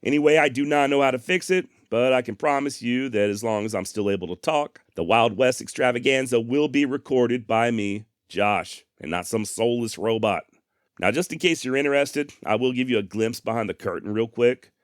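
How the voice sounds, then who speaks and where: A man hosting a podcast in a deep voice, talking casually and plainly to his listeners with emphatic, salty phrasing, close on a studio microphone. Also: moderate pace, clear, resonant tone, no room sound. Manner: plainspoken, mildly profane, emphatic. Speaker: podcast host. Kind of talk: casual direct address to listeners. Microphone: close dynamic studio microphone, processed.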